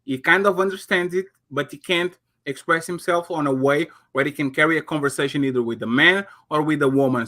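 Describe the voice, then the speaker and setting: A man whose first language is Portuguese argues in a lively group talk, his voice sitting a little high and sounding bright. He cuts in often and stacks repeated words when he pushes back. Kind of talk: heated casual debate.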